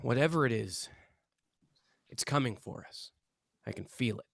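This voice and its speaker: A man's voice: mid-range voice, lively intonation, normal speed, creaky, no echo, clean studio sound. A man playing an intense, earnest young amateur paranormal investigator in a script read, self-important and conspiratorial. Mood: ominous, grave. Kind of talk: table read of a TV pilot script.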